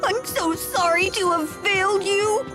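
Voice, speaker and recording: A woman playing an earnest, emotional teenage girl with a noble manner in a game, speaking in an expressive, youthful way. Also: extremely high pitch, a little slow. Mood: distressed. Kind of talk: English game dub acting. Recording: game soundtrack music behind the voice. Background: background music.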